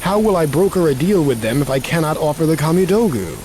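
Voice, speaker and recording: An honorable martial artist on a quest in a video game. His voice is on the higher side for a man. He speaks with earnest, polite formality. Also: sing-song, fast talker, darkish tone, slight background noise. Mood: respectful concern. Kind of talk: video game cutscene acting.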